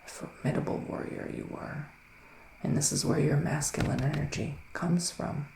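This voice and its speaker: Guy doing asmr witch tarot reading, soft whispery and mystical, gravelly fry, bit of noise behind. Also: a little high, fairly even intonation. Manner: hushed, drifting in and out of whisper; unhurried, pausing between short phrases. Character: soothing, mystical male forest witch giving tarot readings. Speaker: ASMR roleplay performer. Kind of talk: ASMR psychic reading roleplay. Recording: roomy echo, faint background noise.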